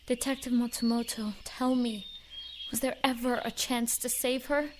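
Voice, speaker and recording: A woman playing a bereaved, grieving sister in an amateur radio drama, halting and emotional. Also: a little high, little pitch movement, natural voice. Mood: tearful grief. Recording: clear background noise.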